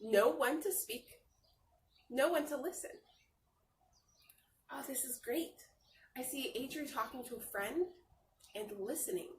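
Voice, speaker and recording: A woman reading a picture book aloud like a teacher, talking to young children in a simple, encouraging, expressive way with drawn-out phrases. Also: sits high. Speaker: read-aloud storyteller and teacher. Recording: roomy echo, distant mic, faint noise.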